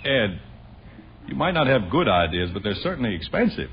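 A man's voice: fairly low pitch, big pitch swings, moderate pace, a little hiss or hum. An American actor playing a middle-aged, put-upon husband and father in a 1950s radio sitcom, with a dry, wry delivery. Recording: dull old radio recording.

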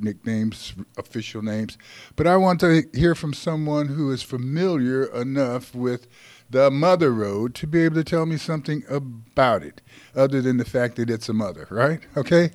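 A man's voice: mid-range voice, a little mellow, no room sound. A man hosting a Sunday talk show from a studio, rambling and folksy, teasing his guests. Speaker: radio show host. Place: radio studio. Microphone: studio microphone.

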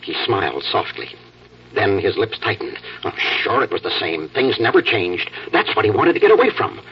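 An American actor playing a fatherly night editor, telling stories in a reflective, halting, dramatic 1940s radio manner. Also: on the low side, very animated, slight background noise. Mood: wistful, reflective. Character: fatherly newspaper night editor spinning wistful human-interest yarns. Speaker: storyteller. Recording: muffled, distant old radio broadcast.

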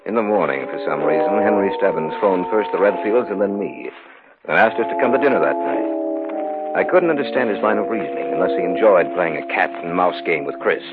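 A man's voice: sits low, lively intonation, brisk, loud music behind the voice. An actor playing a sober, level-headed man narrating his own eerie tale in a 1950s radio drama. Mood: grave. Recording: muffled old radio recording.

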